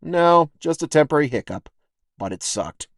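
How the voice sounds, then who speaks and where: A man reads a scripted audio essay in a wry, plain-spoken, colloquial way, setting off short phrases with deliberate pauses. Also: fairly high pitch, animated, dry recording, clean studio sound. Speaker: podcast writer and host.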